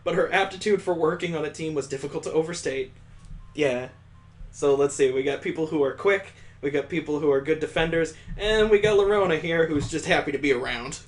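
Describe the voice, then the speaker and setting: Guy streamer reading game text out loud, kinda high voice, sarcastic little riffs. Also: a little fast, soft background music. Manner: sarcastic, amused, breaks off to riff. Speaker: gaming streamer reading a visual novel aloud. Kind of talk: reading game text aloud with joking asides.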